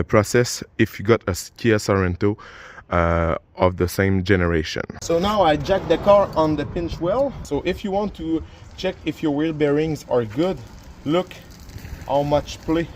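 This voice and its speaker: A man's voice: medium pitch, expressive, unhurried, a little hiss or hum. A man whose first language is French, explaining a car repair step by step in a casual how-to manner with many fillers and restarts. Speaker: DIY car repair youtuber. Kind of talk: casual how-to narration while working. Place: garage or driveway by a car.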